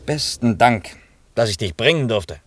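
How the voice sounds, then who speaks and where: A man's voice: medium pitch, animated, normal speed, lightly acted. A mid-range male voice , played by an actor, with faint car noise behind it. He is a reasonable working man in a radio drama, explaining things matter-of-factly with a teasing edge. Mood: mildly impatient. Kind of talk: naturalistic German radio play dialogue.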